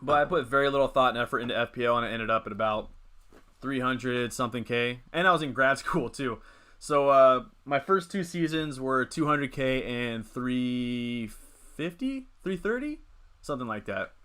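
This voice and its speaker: A man's voice: medium pitch, lively intonation, moderate pace, sharp tone, clean tone. A young man in his twenties hosting a casual live stream, rambling as he reads and answers the chat. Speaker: livestream host.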